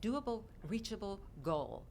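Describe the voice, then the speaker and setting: A South African woman in her fifties, a chatty and animated author telling her story as a guest on a talk radio show. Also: medium pitch, unhurried, creaky, a little hiss or hum.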